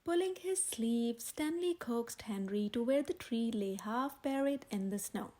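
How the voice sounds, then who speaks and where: A woman reading a children's picture book aloud in a gentle, expressive way. Her voice sits high and bright.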